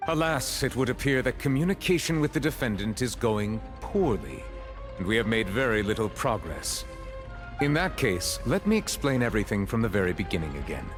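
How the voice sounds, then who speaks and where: A man voicing a stern, formal chief judge with a measured, grave manner in an anime-style game. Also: fairly low pitch, sing-song, music in the background. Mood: stern, composed.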